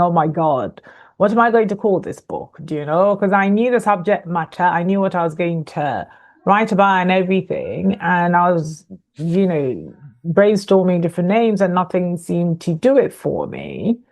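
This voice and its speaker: Low-voiced woman, chatty guest from Ghana. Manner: chatty, laughs easily, many fillers and restarts. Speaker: guest, author and coach. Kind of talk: conversational podcast interview.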